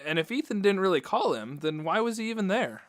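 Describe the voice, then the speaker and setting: A man's voice: high voice, very animated, brisk, no echo, clean studio sound. A man hosting and announcing a scripted radio drama in an interviewer's conversational style. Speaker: host and announcer.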